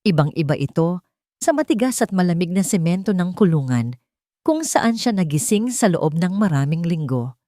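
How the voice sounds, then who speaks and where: Low-voiced woman narrating a dramatic story. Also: very animated, moderate pace, mellow, no echo, studio quality. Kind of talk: scripted dramatic romance narration.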